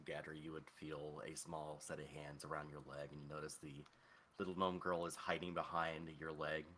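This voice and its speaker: A man with a very low voice runs a tabletop game. He describes scenes off the cuff, in an improvised narrating style. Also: little pitch movement, moderate pace, sharp tone, slight background noise. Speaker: game master.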